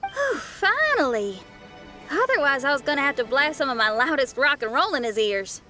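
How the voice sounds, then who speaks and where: A woman's voice: sits high, very animated, normal speed, over loud music. A woman voicing a brash, impatient young female rocker in a video game dub. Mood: brash, frank.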